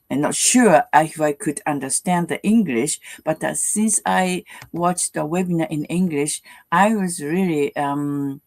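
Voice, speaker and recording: Halting Japanese woman, creaky voice. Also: deep voice, a little mellow, dry recording. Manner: halting, many fillers and restarts. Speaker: guest speaker. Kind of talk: personal testimony at an online live session.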